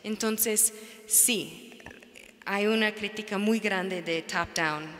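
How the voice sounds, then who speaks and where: A woman whose first language is English, speaking as a panelist in an academic talk, halting and searching for words. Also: medium pitch, fairly bright tone. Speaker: panelist, NGO researcher. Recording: reverberant lecture hall.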